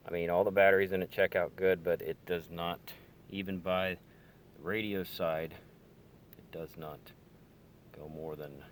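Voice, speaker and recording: Guy with a low voice showing off gear in his car, kinda halting and hedging, real casual. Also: slight background noise. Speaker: hobbyist demonstrating radio gear. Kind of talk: casual hands-on demonstration. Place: inside a parked car. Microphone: handheld camera or phone.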